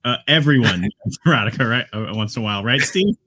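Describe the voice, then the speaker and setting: A man's voice: mid-range voice, expressive, quick pace, sharp tone, husky. A man co-hosting a casual podcast, teasing the guest and other hosts with jokes. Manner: teasing, joking. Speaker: podcast co-host.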